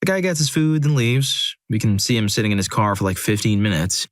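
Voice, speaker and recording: A man narrating a compilation of first-person stories in a steady, scripted reading, with dry, wry asides. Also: lowish voice, a little fast, no echo.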